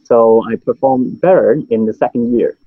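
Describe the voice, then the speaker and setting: A man's voice: mid-range voice, moderate pace, husky, narrow, tinny audio. A man in his thirties with a Hong Kong accent, a native Cantonese speaker, answering interview questions hesitantly with many fillers. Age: 30s. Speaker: memory trainer, guest.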